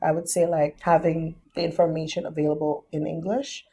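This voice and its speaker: A woman's voice: low-pitched, flat delivery, fairly quick, mellow, a little hiss or hum. A young adult woman and business founder, a podcast guest, explaining things step by step in a thoughtful, casual way. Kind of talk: casual interview.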